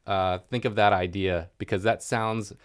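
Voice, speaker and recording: A man's voice: fairly low pitch, steady tone, normal speed. A man co-hosting a podcast, responding and asking questions in a conversational style. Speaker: podcast co-host. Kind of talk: conversational interviewing.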